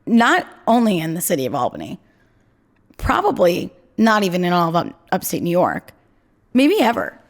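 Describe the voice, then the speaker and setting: A young woman co-hosts a casual podcast from home, with a low voice that has a gravelly fry. Her pitch swings around a lot as she chats in a self-deprecating way. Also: huge pitch swings, moderate pace. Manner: chatty, self-deprecating, lots of "like" and "I mean". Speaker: podcast co-host. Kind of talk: casual chat.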